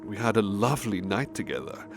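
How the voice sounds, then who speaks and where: A man playing a refined, sadistic serial-killer nobleman in a horror audio drama, gloating theatrically and savouring his words with breathy drops. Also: fairly low pitch, sing-song, fairly quick. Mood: smug, bored disdain. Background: music under the voice.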